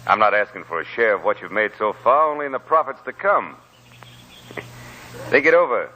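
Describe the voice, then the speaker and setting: A cool, bold stranger in a 1940s radio drama. His voice is low and husky, with a forceful edge and animated delivery. He speaks directly, like someone driving a bargain, on an old broadcast. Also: normal speed, heavily acted, low-quality recording. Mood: calm, persuasive. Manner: direct, calculating.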